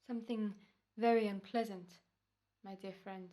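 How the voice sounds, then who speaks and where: A woman's voice: medium pitch, steady tone, moderate pace, dark tone, close to an everyday voice. A woman playing a formal, courteous and menacing doctor in an old-time radio drama. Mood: cold threatening. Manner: courteous, precise, ominous. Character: smooth, menacing, formal doctor turned murderer in radio rehearsal.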